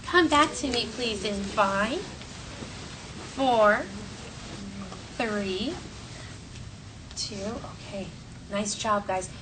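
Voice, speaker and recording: A woman teacher reading a story aloud to children in an expressive, clearly phrased way and talking to the class. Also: medium pitch, extremely slow, long pauses. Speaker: elementary school teacher. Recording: distant mic, faint classroom noise.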